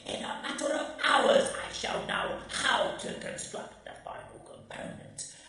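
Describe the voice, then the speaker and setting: A man's voice: a little slow, bright, forceful tone. A man playing a cruel, gloating megalomaniac cyborg in a radio drama, with a harsh, electronically modulated voice and pompous, menacing threats. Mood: gloating menace. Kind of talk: radio drama villain acting. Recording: echoey fan-made audio drama.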